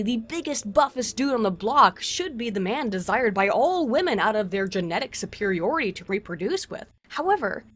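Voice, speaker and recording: A woman narrating a scripted video essay in formal, wordy academic phrasing with wry asides. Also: mid-range voice. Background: music.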